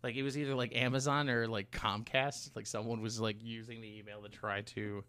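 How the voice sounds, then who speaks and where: A man's voice: medium pitch, level tone, bright, forceful tone, clean tone. A man co-hosting a podcast in casual chat, rambling and interrupting himself as he goes, with casual swearing. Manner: rambling, halting, self-interrupting. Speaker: podcast co-host.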